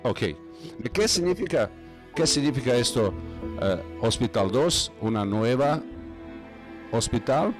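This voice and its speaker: Slow, hesitant man hosting an interview. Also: medium pitch, expressive, over music. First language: not Spanish. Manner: halting, many pauses and fillers. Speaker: host. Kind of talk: interview podcast. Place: home, video call.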